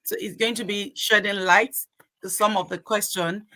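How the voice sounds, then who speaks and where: A woman's voice: mid-range voice, steady tone, normal speed, bright, forceful tone, rough recording. A woman hosting a podcast panel, introducing guests and handing over questions in a formal way. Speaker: podcast host.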